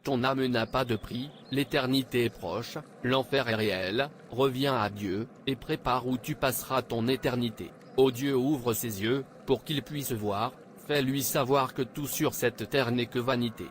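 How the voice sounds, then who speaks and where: A man's voice: mid-range voice, steady tone, measured pace, few pauses, close to an everyday voice. Man voicing an earnest pleading preacher, noisy recording. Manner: solemn exhortation. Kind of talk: sermon-like cartoon dialogue.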